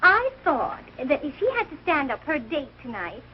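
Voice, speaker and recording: A catty young socialite rival in a 1940s sitcom. Her voice is high and coated in false sweetness. The vintage soundtrack sounds dull and faintly noisy. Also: big pitch swings, moderate pace. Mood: sugary, scheming. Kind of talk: 1940s live TV sitcom acting.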